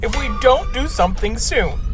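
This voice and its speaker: Woman as a high-pitched anxious space number-cruncher. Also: animated, normal speed, music in the background, natural voice. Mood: fretful. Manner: alarmist, precise, fretful. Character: anxious, statistics-obsessed spaceship crew member, doom-predicting. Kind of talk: sci-fi comedy audio drama acting.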